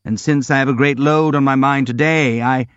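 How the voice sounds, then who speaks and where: A man's voice: medium pitch, fairly even intonation, moderate pace, no echo, highs cut off, voice pushed up, natural voice. A man voicing an elderly, boastful army general who speaks in a grandiloquent, effusive way. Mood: pompous. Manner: grandiloquent, effusive, self-pitying.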